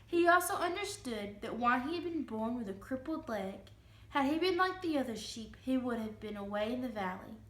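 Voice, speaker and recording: High-voiced woman reading a storybook aloud. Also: expressive, moderate pace, a bit bright. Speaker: reader giving a dramatic reading. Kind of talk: expressive children's storybook narration. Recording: roomy, echoing room sound.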